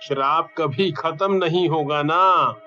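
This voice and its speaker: A solemn young villager voiced by a man in a cartoon story, speaking very slowly in a grave storytelling manner. The audio is dull, with faint music beneath. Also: fairly high pitch, sharp tone.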